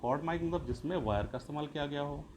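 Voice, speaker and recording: Man, slightly high voice, school teacher explaining, repetitive rephrasing, question-and-answer delivery, some background noise. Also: fast talker, a little mellow. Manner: repeats and rephrases points, poses questions then answers them. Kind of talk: explanatory classroom-style lesson.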